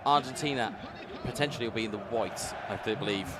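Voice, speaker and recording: A male esports commentator giving play-by-play commentary on a football video game, building up and booming on big chances. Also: fast, heavy background noise. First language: English.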